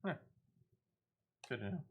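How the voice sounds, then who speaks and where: A man giving casual gameplay commentary, partly muttering to himself and partly reading quest text aloud as he plays. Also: a little slow. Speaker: gaming video host.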